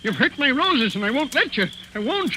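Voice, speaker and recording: A man's voice: very high-pitched, very animated, normal speed, sharp tone, exaggerated voice. An actor playing a deranged old man raving obsessively about his roses in a melodramatic 1940s radio drama. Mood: wounded frenzy. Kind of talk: 1940s radio suspense acting. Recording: noisy vintage 1940s radio recording.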